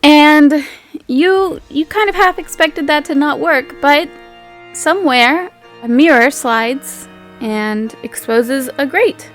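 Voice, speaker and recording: High-voiced, unhurried woman running a tabletop game. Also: faint music behind. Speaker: game master. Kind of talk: casual narration of a tabletop game.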